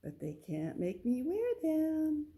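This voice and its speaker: A woman reading a children's picture book aloud, with expressive delivery and little asides to the listener. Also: high-pitched, slow pace. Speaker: storybook reader.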